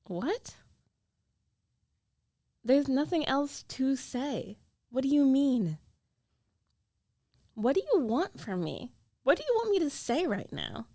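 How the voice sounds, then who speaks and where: A woman's voice: high-pitched, big pitch swings, slow pace, long pauses, dark tone, no echo, studio quality, full character voice. A woman acting a jealous, defensive young roommate who is secretly in love, in an emotional, conversational ASMR roleplay. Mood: upset, confessing. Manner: emotional, conversational, pleading and protesting. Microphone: close studio microphone, dry.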